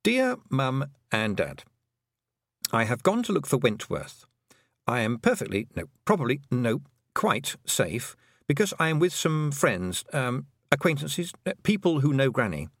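A man's voice: mid-range voice, sing-song, a little slow, fairly bright tone, no room sound, studio quality, voice pushed up, heavily acted. A man voicing a practical, stern young farm girl who is a witch, with a haughty manner. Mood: hesitant.

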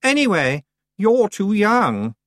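A man voicing a bossy, sharp-tongued young witch girl with a snappish manner. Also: high voice, big pitch swings, slow, no echo, studio quality, voice pushed up, full character voice.

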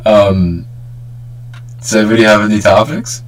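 A man's voice: fairly low pitch, fairly even intonation, moderate pace, rough recording. A young man hosting a casual, joking group podcast chat with plenty of banter. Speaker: podcast host. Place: remote group call.

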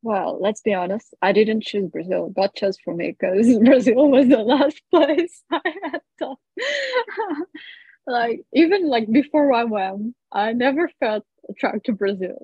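A woman's voice: a little high, expressive, a little slow, mellow, slightly rough. A woman from Portugal with a Portuguese accent, a guest casually telling her own story. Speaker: guest, missionary. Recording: roomy, dull lo-fi audio.

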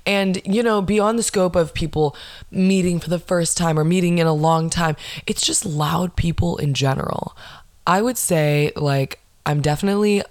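A young woman with a lowish voice hosts a podcast, venting in a casual, foul-mouthed, sarcastic rant. She slips into mocking impressions of the people who annoy her. The recording is dry and close, with no room sound. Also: moderate pace, a little mellow, no room sound. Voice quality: low voice, not nasal. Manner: sarcastic, swears a lot, acts out mocking quotes. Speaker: podcast host. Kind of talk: casual ranting monologue.

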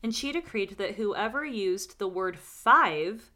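Woman podcast host, bright voice, reads a story n chats in between. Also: mid-range voice, animated, slow pace. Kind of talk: casual storytelling with chatty asides.

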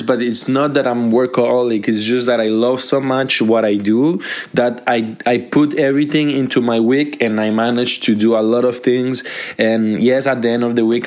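A man's voice: medium pitch, darkish tone. A young Colombian man hosting a casual solo podcast, talking in an upbeat, motivational way into a microphone, with many restarts and fillers. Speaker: podcast host.

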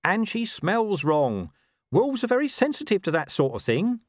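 A man's voice: high-pitched, big pitch swings, normal speed, dry recording, clean studio sound, pitched above the performer's own voice. A man voicing a scruffy, wheedling talking dog in an audiobook, sly and colloquial. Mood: cynical, knowing. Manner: colloquial, sly, world-weary asides.

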